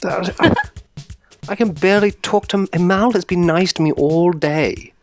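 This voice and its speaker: A woman's voice: on the low side, normal speed, soft background music, pitched above the performer's own voice, close to an everyday voice. A woman playing an insecure young man who wants to be a hero, petulant and full of self-doubt. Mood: anxious, doubting. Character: insecure young man Hamlet, desperate to prove himself a hero.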